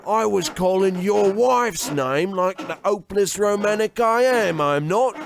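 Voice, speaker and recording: An actor playing a talking slot machine as a smug, sleazy greaser lover, drawling and taunting, in a comedic radio play. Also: male voice, high-pitched, animated, unhurried, gravelly, a little hiss or hum, full character voice. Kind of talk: comedic old-time radio play acting.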